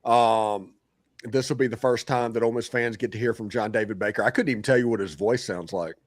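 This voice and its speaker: Quick-talking man co-hosting a sports podcast. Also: medium pitch, steady tone, dry recording, studio quality. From Tennessee. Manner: quick, storytelling. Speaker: podcast co-host. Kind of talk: casual sports chat.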